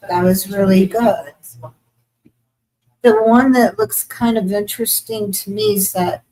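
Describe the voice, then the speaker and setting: A woman hosting a casual interview at a restaurant, friendly and quick to laugh. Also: on the high side, sing-song, gravelly, warm tone, slight background noise, low-quality recording. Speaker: host.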